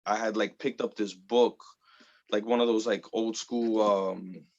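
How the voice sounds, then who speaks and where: A man's voice: fairly low pitch, steady tone, a little slow, darkish tone, highs cut off. A man in his thirties from the Bronx, chatting loosely as an interview guest, full of fillers and casual swearing. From the Bronx, New York. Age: 30s. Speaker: guest artist.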